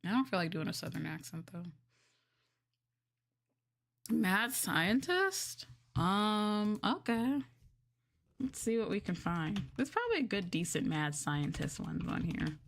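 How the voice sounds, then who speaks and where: A woman streamer talks casually to a live chat. She thinks out loud in a loose, hesitant way, at a middling pitch. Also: expressive, a little slow, creaky, pauses often. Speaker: VTuber streamer.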